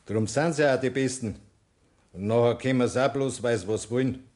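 A man's voice: medium pitch, moderate pace, a little mellow, natural voice. An older man with a Bavarian accent playing a persistent grandfather near retirement in a 1960s German radio play, drawling and coaxing. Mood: friendly.

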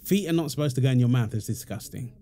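A man's voice: mid-range voice, fast talker, dark tone, slight background noise. A man playing a playful, teasing young husband and doting father in an intimate, affectionate domestic ASMR roleplay. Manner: teasing, affectionate, chuckling banter. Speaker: roleplay audio performer.

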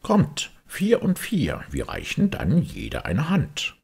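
A man plays a smooth-talking storybook walrus full of false sympathy. The voice is husky and swoops widely, coaxing gently and unctuously. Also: on the high side, wildly swooping pitch, takes it slowly, voice pushed up, full character voice.

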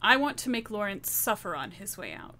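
A determined, vengeful woman played by a woman in a tabletop game. Her voice is bright and forceful, mid-pitched, with a cold and deliberate threat. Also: very animated. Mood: threatening.

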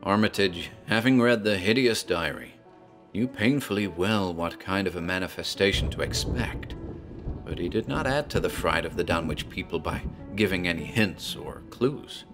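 A man's voice: low voice, animated, normal speed, music in the background. A man narrating a horror tale in a theatrical, storytelling manner, as a tavern landlord.

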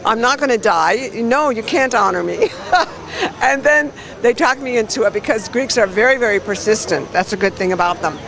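A woman's voice: on the high side, animated, normal speed. Chatty woman in her sixties, self-mocking. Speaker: film director, honoree. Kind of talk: red carpet interview. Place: outdoor red carpet. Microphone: handheld mic. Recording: busy crowd outdoors.

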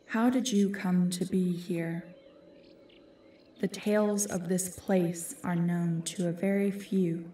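Casting: actress for ancient female woodland guardian, fantasy audio drama, stern and formal, slow delivery, very reverberant distant sound. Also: on the low side, steady tone, dark tone, slight background noise. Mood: guarded. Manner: formal, commanding, measured.

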